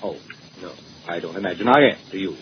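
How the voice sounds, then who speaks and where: Sardonic menacing husband, muffled radio recording. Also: male voice, low-pitched, lively intonation, fast talker. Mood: mocking menace. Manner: sardonic, mock-affectionate, taunting. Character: suave, menacing, mocking husband. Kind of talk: 1940s radio thriller acting. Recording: slightly noisy, band-limited old radio recording.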